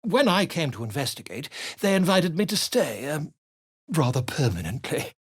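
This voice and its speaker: A man's voice: fairly high pitch, sing-song, normal speed, slightly rough, dry recording, clean studio sound. An actor playing an eccentric, pompous male bard and scholar in a video game, speaking in flowery, declamatory phrases.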